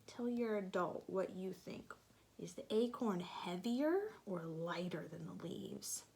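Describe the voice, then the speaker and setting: A woman reading a picture book aloud to young children, gently asking them questions and sometimes dropping to a soft whisper. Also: on the low side, slow pace, creaky.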